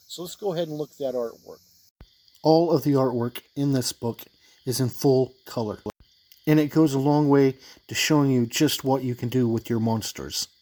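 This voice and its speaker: A man giving an informal spoken review of role-playing books, plain-spoken and halting, speaking in short phrases. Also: medium pitch, a little mellow, no room sound.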